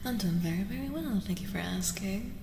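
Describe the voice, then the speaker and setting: A woman speaking softly and gently in casual ASMR style as a streamer reading tarot, often dropping to a whisper, close to the microphone. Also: medium pitch, animated, brisk, creaky, a little hiss or hum. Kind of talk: soft-spoken ASMR, casual live chat. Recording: reverberant echo with music behind. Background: music.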